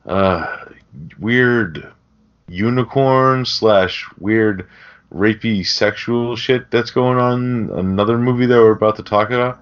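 A man's voice: lowish voice, takes it slowly. A man co-hosting a podcast, chatting casually about films in an informal, sweary way. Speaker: podcast co-host. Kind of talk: casual film chat. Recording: dull, lo-fi sound.

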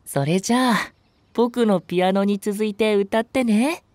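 A man voicing a sweet-talking young kindergarten teacher in a voice drama, soft and gentle. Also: high-pitched, measured pace, dry recording. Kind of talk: Japanese voice drama acting.

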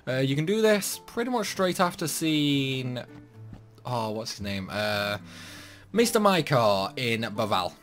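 Lively man doing game commentary. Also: medium pitch, unhurried. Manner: chatty, playfully talks to the game's characters. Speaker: gaming video host. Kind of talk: casual game playthrough commentary. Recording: music behind the voice. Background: game music.

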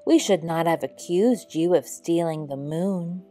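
A woman's voice: lowish voice, unhurried, mellow, soft background music, lightly acted. A woman voicing small forest animals in a children's storybook, earnest and plain-spoken. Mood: apologetic. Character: accusing, later apologetic small forest animals. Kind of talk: storybook character voice.

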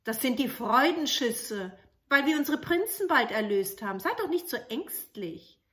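A woman's voice: sits high, sing-song, normal speed, fairly bright tone, voice pushed up. A woman voicing a bossy eldest princess in a storybook tale, emphatically brushing worries aside. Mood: dismissive.

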